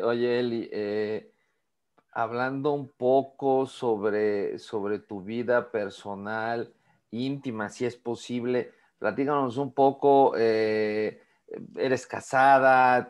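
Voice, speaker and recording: A male interviewer asks his questions in a slow, deliberate way. He holds a medium pitch and takes his time over each phrase. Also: fairly even intonation, darkish tone, clear, resonant tone.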